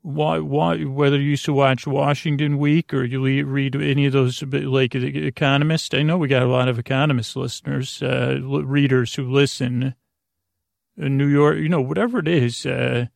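An American man hosting a sleep podcast in a meandering, halting monologue, stumbling and trailing off mid-thought in a gravelly voice. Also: medium pitch, fairly even intonation, dry recording, studio quality. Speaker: podcast host. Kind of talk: rambling bedtime monologue to help listeners sleep.

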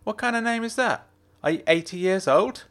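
A man's voice: sits high, animated, normal speed, fairly bright tone, clear, resonant tone, voice pushed up. A man voicing a brash, bossy young woman student who fires off pushy, teasing questions.

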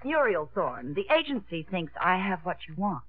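An actress playing a brisk female artist's model in 1950s American radio drama style. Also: lowish voice, big pitch swings. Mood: brisk, confident. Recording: tinny, phone-like old radio broadcast.

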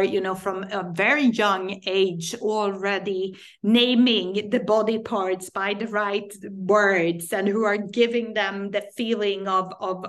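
A woman's voice: mid-range voice, fairly bright tone. Earnest woman from Sweden, searching for words. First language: Swedish. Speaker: guest, parent and sex education advocate. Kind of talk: podcast interview.

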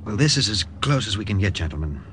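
A formal naval officer in a vintage radio drama. He gives instructions in a measured, lowish voice. The old recording is clearly noisy. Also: sing-song, fairly bright tone. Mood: businesslike, calm. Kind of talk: 1950s radio drama acting.

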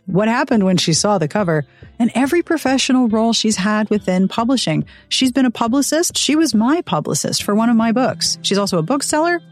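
Upbeat woman podcast host. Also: medium pitch. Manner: upbeat, chatty and personal. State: recovering from illness. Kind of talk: scripted podcast intro and host-read ads.

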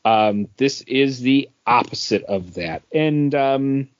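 A man's voice: mid-range voice, expressive, slow, no echo. Man chatting casually, dry lo-fi sound. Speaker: podcast co-host, works at a beer store. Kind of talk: casual podcast chat, informal beer review. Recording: dull, lo-fi podcast audio.

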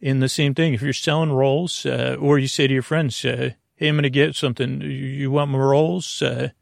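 A man hosting a bedtime podcast, rambling unscripted in a creaky, gravelly voice, halting, interrupting himself and apologizing as he meanders. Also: medium pitch, fairly even intonation, no echo, clean studio sound. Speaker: podcast host.

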